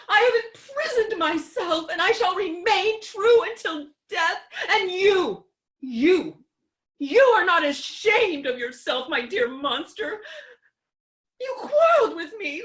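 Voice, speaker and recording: A woman playing a melodramatic, self-pitying and imperious young widow in a staged reading of a comedy, lamenting in a theatrical, drawn-out way. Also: very high voice, lively intonation, a bit bright, heavily acted. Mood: wounded reproach.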